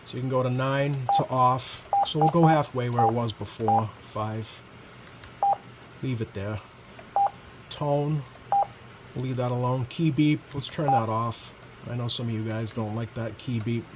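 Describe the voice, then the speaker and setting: A man's voice: medium pitch, unhurried. A man giving a casual hands-on product demo, speaking informally and a bit hesitantly as he thinks aloud. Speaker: product reviewer. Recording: clear steady background noise.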